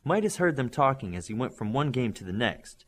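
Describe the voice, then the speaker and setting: Expressive man reading an audiobook. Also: medium pitch, brisk. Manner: expressive, varied voices. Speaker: audiobook reader. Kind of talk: audiobook narration.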